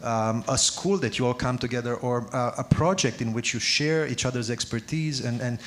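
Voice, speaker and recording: Halting man speaking on a panel. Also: mid-range voice. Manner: halting, many fillers and restarts. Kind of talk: live panel discussion.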